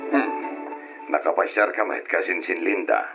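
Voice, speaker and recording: A deep, gravelly Ilocano husband. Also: male voice, moderate pace, music in the background. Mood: sober, reflective. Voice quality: gravelly, husky. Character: hard-drinking husband and father, boisterous and stubborn. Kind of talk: old radio drama acting. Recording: dull lo-fi old radio recording.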